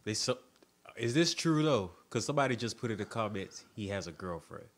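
A man's voice: fairly low pitch, animated, a little fast. A man chatting casually as a co-host on a talk show. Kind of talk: casual chat.

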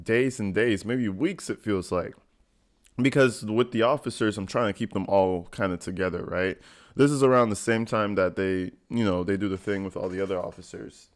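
A young American man giving casual reaction commentary in slangy, profane, conversational speech. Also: fairly low pitch. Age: young adult. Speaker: reaction video host. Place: small indoor home room, gaming chair at desk.

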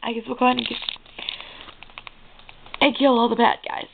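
A young-sounding let's play host with a raspy voice, giving casual commentary on gameplay. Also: mid-range voice, little pitch movement, moderate pace. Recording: muffled phone-like audio, clear noise, clicks.

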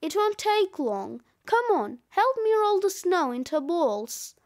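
A woman's voice: very high voice, sing-song, takes it slowly, full character voice. A woman voicing an eager, easygoing young boy in a children's audiobook, breezy and coaxing. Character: young boy Terry, eager, easygoing, playful best friend.

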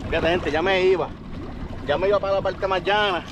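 A man with a Puerto Rican accent giving casual, excitable fishing commentary to viewers from a kayak at sea. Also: high-pitched, brisk. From Puerto Rico. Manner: excitable, exclaiming, talking to viewers while fishing. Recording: loud wind, water and boat noise. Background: open water and boat noise.

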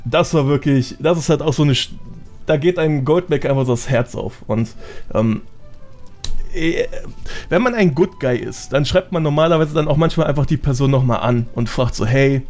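A man's voice: a little high, lively intonation, moderate pace, a little mellow. Young guy guesting on a podcast, slangy and chatty, faint music behind him. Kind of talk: casual chat, telling anecdotes.

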